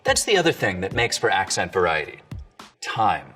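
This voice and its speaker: Man, dialect coach, scripted explainer, lively intonation, tinny distant audio. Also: sits low, moderate pace. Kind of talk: scripted educational narration. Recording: distant tinny video audio with faint music.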